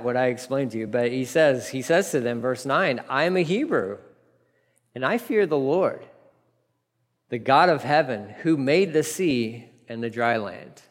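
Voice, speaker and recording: A man pastor preaching a verse-by-verse sermon, animated, acting out the story's dialogue in different voices. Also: medium pitch, in a large hall. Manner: animated, acts out dialogue with laughs.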